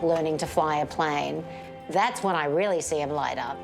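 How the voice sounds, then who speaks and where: Low-voiced woman over faint music. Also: normal speed, creaky. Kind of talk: documentary interview, casual explanation.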